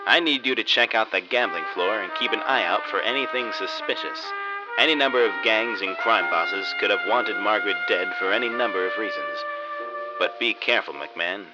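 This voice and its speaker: An actor playing a blunt, exasperated senior detective scolding a partner in a parody noir radio drama. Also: male voice, on the low side, moderate pace, lightly acted. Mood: stern. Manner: scolding, blunt, mock-tough. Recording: dull lo-fi audio under very loud music.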